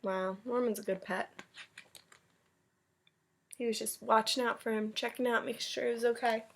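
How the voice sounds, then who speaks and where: A woman with a fairly high voice talks to children during a storybook read-aloud. She is chatty and encouraging, explaining things simply in a casual, conversational way. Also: steady tone, moderate pace, vocal fry, a little hiss or hum. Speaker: teacher reading aloud to a class.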